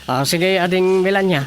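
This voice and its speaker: A man's voice: sits high, a little hiss or hum. An actor playing a suspicious, grumbling man in a radio drama, muttering and sighing as he talks.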